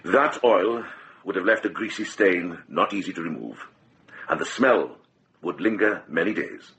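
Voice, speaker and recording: An actor playing a shrewd, compassionate middle-aged monk in a radio drama, speaking with grave, measured deliberation. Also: male voice, low-pitched, lively intonation, a bit bright, slight background noise, heavily acted. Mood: grave, accusing.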